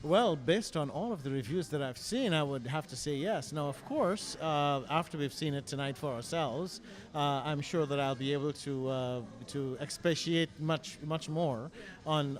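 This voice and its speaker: Formal, hesitant man at a theatre. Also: on the high side. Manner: formal wording with many "uh" pauses. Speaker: theatregoer being interviewed. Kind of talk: formal, hesitant vox-pop answer.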